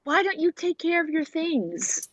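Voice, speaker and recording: A woman's voice: very high-pitched, sing-song, measured pace, no echo, full character voice, poor audio. A woman playing a jealous, hot-tempered big cat trainer in a 1940s-style radio play. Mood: angry.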